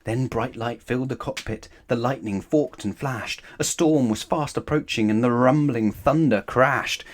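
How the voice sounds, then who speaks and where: A man's voice: mid-range voice, measured pace. A man reading a rhyming children's storybook aloud as a narrator.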